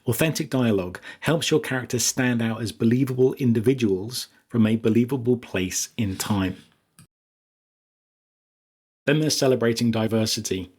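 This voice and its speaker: A British man hosts an instructional podcast in a mid-pitched, slightly husky voice. He works from a script and drops in chatty asides as he teaches. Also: normal speed, darkish tone. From Essex, England. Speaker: podcast host and writing coach.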